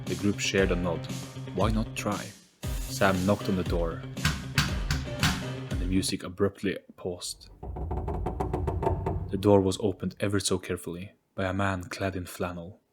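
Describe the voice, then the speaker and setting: A man's voice: low-pitched, little pitch movement, measured pace, pauses often, over loud music. A man reading scripted narration for a storybook-style audio play.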